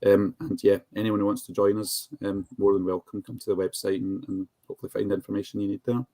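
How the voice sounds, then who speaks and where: Fast-talking man, close dry sound. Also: lowish voice, little pitch movement, mellow, no echo. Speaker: charity representative on a panel. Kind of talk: informal advocacy talk.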